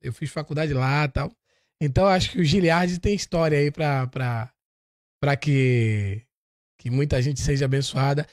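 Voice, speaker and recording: A man from Salvador in Bahia, Brazil, hosting a podcast in relaxed casual chat. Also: on the high side, moderate pace, no echo, clean studio sound. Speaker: podcast host.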